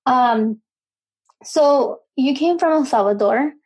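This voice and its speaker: A woman's voice: high-pitched, takes it slowly, a little mellow, slightly rough, low-quality recording. A woman hosting a podcast interview, speaking hesitantly with frequent ums. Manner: hesitant, heavy use of um. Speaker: podcast host. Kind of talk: casual interview.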